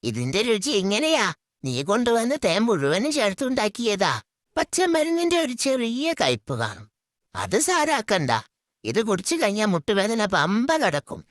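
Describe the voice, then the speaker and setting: A woman's voice: mid-range voice, huge pitch swings, unhurried, no room sound, clean studio sound. A woman voicing a sly, lazy male fox who poses as a healer in a children's cartoon, scheming and bossy. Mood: bossy, persuading. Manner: scheming, bossy, mock-authoritative. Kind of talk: children's cartoon story character.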